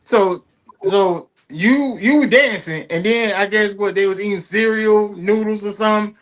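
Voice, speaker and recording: A man's voice: high-pitched, normal speed, a bit bright, rough recording. A man hosting an interview, talking casually and enthusiastically with many restarts and fillers. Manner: enthusiastic, rambling, heavy fillers and repeats. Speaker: host.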